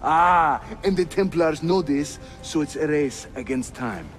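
An actor playing a jovial, roguish Turkish assassin, a teasing mentor with a Turkish accent and playful delivery in a video game. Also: male voice, on the high side, a little slow, faint music behind, close to an everyday voice. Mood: curious, friendly. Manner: playful, animated. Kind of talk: cinematic video game acting.

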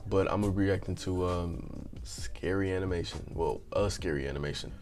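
Slangy young man, low slightly raspy voice. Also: brisk, music in the background. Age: young adult. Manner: slangy, animated, joking. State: slightly raspy from just waking up. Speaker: reaction channel host. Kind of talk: casual reaction commentary. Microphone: earbud headset mic.

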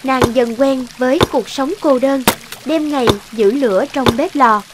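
A woman's voice: sits high, a little slow, very noisy. A woman reading scripted fairy-tale narration as a storyteller.

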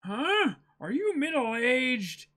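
A man plays a brash, carefree boy adventurer from a comic. The voice is pitched high and bright, bouncing with naive excitement. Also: drawn-out words, heavily acted. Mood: blunt, curious.

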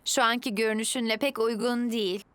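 A woman voicing a helpful young female garage mechanic in a children's cartoon dub, polite and gentle. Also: fairly high pitch, steady tone, brisk, slight background noise, natural voice.

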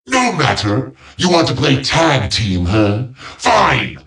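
A man's voice: medium pitch, huge pitch swings, slow pace, a bit bright, reverberant, distant mic, full character voice. A man voicing a boastful, childish robotic villain overlord in a video game, with grandiose, petulant delivery. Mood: taunting, boastful.

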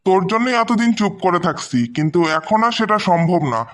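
A high male voice with a Bangladeshi accent , acted by a man, plays a young cartoon brother. He is defiant and protective, pressing his argument insistently. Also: animated, brisk, fairly bright tone. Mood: resolute. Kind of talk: amateur cartoon story dubbing.

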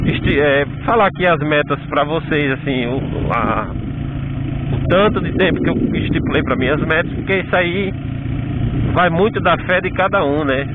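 A Brazilian man talking chattily while riding a motorcycle in the rain, preaching about faith and hard work, often asking "entendeu?"; recorded outdoors on a bike-mounted camera. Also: fairly high pitch. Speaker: motovlogger. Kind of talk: casual motivational talk while riding. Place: outdoors on a motorcycle on rainy rural and town roads. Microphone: helmet or bike-mounted action camera. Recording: tinny phone-like sound with loud road noise.

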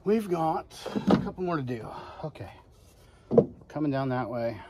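Man muttering over loud power tools. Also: on the high side, animated, moderate pace. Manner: talks to himself while working, muttering encouragement. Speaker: homesteading vlogger. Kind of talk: casual narration while building. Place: outdoors on a homestead. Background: loud power tool noise.

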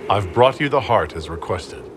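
A calm, sympathetic leader from a fantasy game , played by a male actor, with a deep voice. He talks in a measured, sincere way. Clear ambient noise sits behind him. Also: lightly acted. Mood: plain. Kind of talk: video game dialogue acting.

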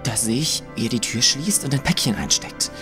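A man's voice: mid-range voice, a little fast, music in the background, lightly acted. A man playing a guarded young working-class lad. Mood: confiding. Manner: plain-spoken, guarded. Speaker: witness.

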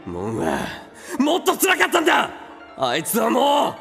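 An actor in a radio drama playing a coarse, hot-tempered older brother who is fiercely protective. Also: male voice, very high-pitched, huge pitch swings, moderate pace, a bit bright, faint music behind, full character voice. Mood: shouting rage. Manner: coarse, blunt rough speech. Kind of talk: Japanese radio drama acting.

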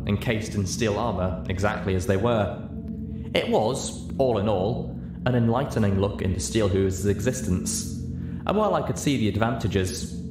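A man with a fairly low voice narrates a first-person story from a script. The sound is roomy, with clear music playing behind him. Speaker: audiobook narrator.

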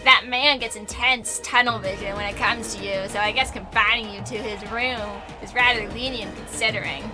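A woman's voice: on the high side, steady tone, moderate pace, hardly any pauses, over music, lightly acted, low-quality recording. A woman reading aloud the lines of a cryptic, teasing young man from a visual novel, in an offhand, amused way. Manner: casual read-aloud of game dialogue, amused and offhand.

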